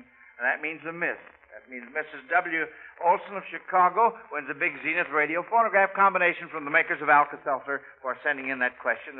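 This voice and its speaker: Folksy high-voiced man on tinny old radio. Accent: American. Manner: folksy schoolmaster addressing children. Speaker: quiz show host. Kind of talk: 1940s live radio quiz hosting. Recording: tinny, band-limited old radio recording.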